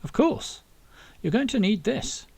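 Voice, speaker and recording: A man voicing a helpful, eager young woman of about twenty in a soft manner for an audiobook. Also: extremely high pitch, expressive, measured pace, vocal fry, slightly rough, voice pushed up, heavily acted.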